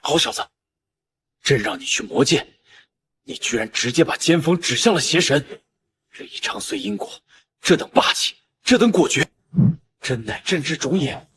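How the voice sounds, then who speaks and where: A male actor as a proud, hearty ruler in an animated drama. His medium-pitched voice swings grandly and theatrically, over faint ambience. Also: moderate pace, long pauses, slight background noise. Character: proud, hearty emperor praising his son. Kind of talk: Chinese animated web drama voice acting.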